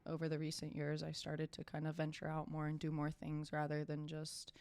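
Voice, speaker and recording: A woman's voice: low-pitched, completely flat delivery, a little fast, darkish tone, no echo. Young woman, American accent, podcast guest, casual, self-deprecating, informal phrasing, dry close recording. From California, United States. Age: young adult. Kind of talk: casual interview chat.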